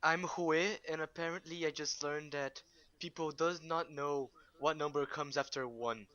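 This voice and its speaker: A man's voice: fairly high pitch, steady tone, normal speed, dull audio, very noisy. A young man co-hosting a casual podcast, trying to get the group back on topic. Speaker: podcast co-host. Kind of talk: casual chat.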